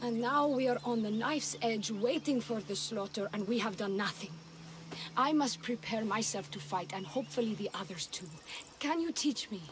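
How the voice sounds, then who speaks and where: A woman's voice: a little high, moderate pace, background noise, lightly acted. An actress playing a feisty, headstrong woman warrior, a younger sister, in a video game, forthright and sardonic. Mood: determined, bitter. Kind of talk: video game cutscene acting.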